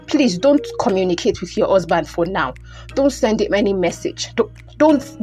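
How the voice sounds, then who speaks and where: A woman's voice: lowish voice, a little mellow, natural voice. A woman playing a concerned, protective, devout friend in an audio drama, with a Nigerian accent and an earnest, emphatic way of repeating phrases.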